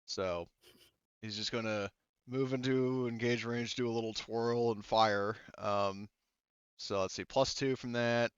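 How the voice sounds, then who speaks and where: A man running a tabletop game as game master, pausing often with ums while he counts dice and tracks the turn. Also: medium pitch, fairly even intonation, clear, resonant tone, no echo, lo-fi sound. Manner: halting, many ums, counts dice aloud.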